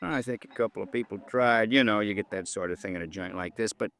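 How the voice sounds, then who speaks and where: Slangy, talkative American bartender. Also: male voice, lowish voice, fast talker, fairly bright tone. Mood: recalling. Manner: folksy, slangy, eager to explain. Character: talkative, plain-spoken male bartender in a cheap joint. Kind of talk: 1950s radio drama acting.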